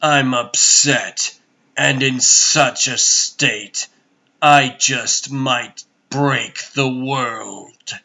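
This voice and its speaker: Comic-dub tyrant played by a man: brooding god-emperor, forceful bright tone, very slow grave delivery. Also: medium pitch, gravelly, heavily acted. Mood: restrained menace. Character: imperious, brooding male god-emperor and stern father. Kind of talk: dramatic comic dub acting.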